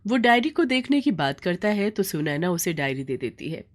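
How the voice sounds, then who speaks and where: A woman's voice: fairly low pitch, big pitch swings, moderate pace. Woman narrating a story. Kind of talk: scripted moral story narration.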